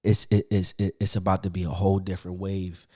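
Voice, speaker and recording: A young man, a guest poet chatting on a podcast, halting and full of repeated words and fillers. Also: low-pitched, little pitch movement, warm tone. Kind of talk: casual podcast chat.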